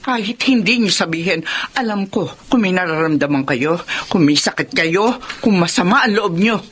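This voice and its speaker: A woman's voice: on the low side, animated, moderate pace, a little hiss or hum, lightly acted. A woman playing a busy career mother who scolds and earnestly explains herself to her daughter, in a radio drama with music behind. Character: working mother, career woman, stern but loving. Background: background music.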